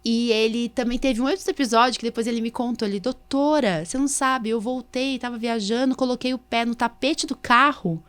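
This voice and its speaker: A woman's voice: a little high, brisk, few pauses, a bit bright. A Brazilian woman hosting a casual medical podcast as a doctor, chatty and anecdotal, often checking for agreement. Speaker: podcast host, allergist doctor.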